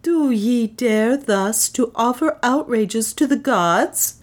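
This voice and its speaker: A woman voicing an imperious priestess who poses as a goddess in an audiobook, declaiming in measured, commanding phrases. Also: on the high side, voice pushed up. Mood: stern warning. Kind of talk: theatrical audiobook character speech.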